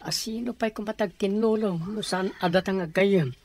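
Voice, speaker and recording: A woman's voice: medium pitch, moderate pace, darkish tone, natural voice. A woman playing a caring, practical workmate with an Ilocano accent in a radio drama. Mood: concerned.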